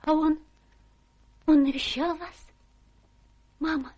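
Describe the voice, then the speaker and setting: A woman's voice: high-pitched, fairly even intonation, slow pace, a little mellow, dull audio. An actress in a radio play voicing a tender, guileless young daughter with an earnest, girlish manner. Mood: affectionate. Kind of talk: theatrical radio play acting.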